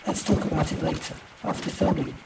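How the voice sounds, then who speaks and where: A man acts out an earnest, lovestruck alien actor in a sci-fi story, raised in pitch and full of anxious pleading. The recording is very noisy, with the voice set back from the mic. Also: fairly quick, gravelly. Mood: soothing. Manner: earnest, breathless, soothing. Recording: noisy amateur recording, distant microphone.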